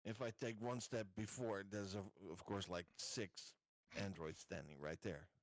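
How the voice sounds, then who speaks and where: A man's voice: fairly low pitch, steady tone, a little slow, dry recording. Hesitant man playing a tabletop game. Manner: hesitant, cautious, questioning, repeats words. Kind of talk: casual game chat.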